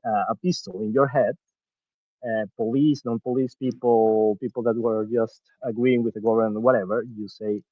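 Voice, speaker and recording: A man's voice: lowish voice, fairly even intonation, moderate pace, no room sound. Man talking earnestly, dry dull sound. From Venezuela. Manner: earnest, searching for words, frequent restarts. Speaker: podcast guest. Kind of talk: reflective conversational talk.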